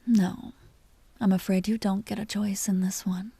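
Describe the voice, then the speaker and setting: A woman playing a seductive, possessive vampire duchess in an intimate audio roleplay, teasing and genteel in a breathy whisper. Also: medium pitch, little pitch movement, dark tone, dry recording. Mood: hungry, commanding. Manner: slow, teasing, genteel and commanding.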